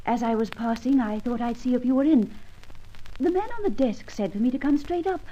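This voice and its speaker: A woman's voice: a little high, brisk, warm tone, a little hiss or hum, natural voice. A woman playing a polite, guarded shopkeeper with formal, precise speech, in an old-time radio serial style. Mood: polite, reserved. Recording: muffled vintage radio recording.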